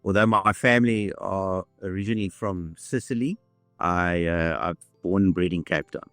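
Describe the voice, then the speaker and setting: A man in his sixties with a lowish voice tells his story in a measured, unhurried way. The sound is dry and close, with faint music under it. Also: fairly bright tone, no room sound. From South Africa. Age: 60s. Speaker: interviewee. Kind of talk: reflective storytelling.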